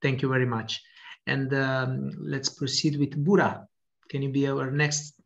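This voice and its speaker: A man's voice: medium pitch, flat delivery, a little slow, gravelly. A man moderating a video call roundtable, polite and hesitant with many fillers. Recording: dull lo-fi call audio.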